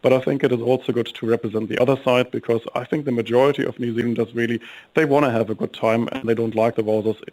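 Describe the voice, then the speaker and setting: A middle-aged man with a German accent speaks quickly as a guest in a radio interview. He argues fluently, with the odd um. His pitch sits in the middle. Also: fairly even intonation, a little mellow, rough recording. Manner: fluent, brisk, with ums while arguing. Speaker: think tank guest. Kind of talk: interview on a talk radio show.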